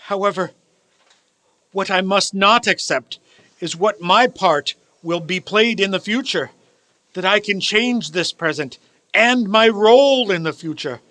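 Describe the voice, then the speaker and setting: Radio-play old miser, male actor: high, bright voice, desperate, imploring, formal, seeking redemption. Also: unhurried, no echo. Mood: earnest, urgent. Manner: earnest, imploring, formal Victorian phrasing. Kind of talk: theatrical radio play acting.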